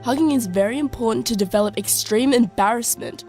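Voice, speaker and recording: A woman's voice: on the high side, fairly quick, darkish tone, soft background music, natural voice. A young woman playing a worldly, teasing, sarcastic teenage girl in an audio drama. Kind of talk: scripted audio drama dialogue.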